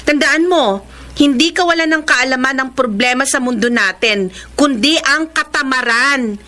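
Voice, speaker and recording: An actress playing a hardworking, sharp-tongued young businesswoman and bossy elder sister in a Filipino radio drama, with an expressive, preachy delivery. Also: high voice, normal speed. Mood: scolding.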